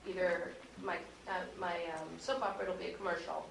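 A woman's voice: lowish voice, fairly even intonation, brisk, vocal fry. A woman audio drama producer talking informally as a panelist at a convention. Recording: echoey room, distant mic, faint noise.

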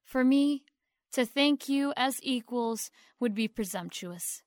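A poised young actress in an audio drama played by a woman speaks in a high, slow voice. She is formal and demure, sweetly grateful with a calculated polish, pausing deliberately as in an acceptance speech. The sound is close and dry. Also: expressive, no room sound. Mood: humble, proud.

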